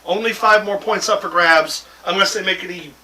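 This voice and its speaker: Boisterous high-pitched male show host. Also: brisk, sharp tone, distant mic, low-quality recording. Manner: boisterous, jokey, profane. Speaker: host of a wrestling talk show. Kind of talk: casual banter and sports predictions.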